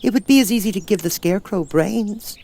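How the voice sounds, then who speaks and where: A man doing a timid young girl, high voice. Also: fairly quick, creaky, slight background noise. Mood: earnest. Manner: soft, wondering.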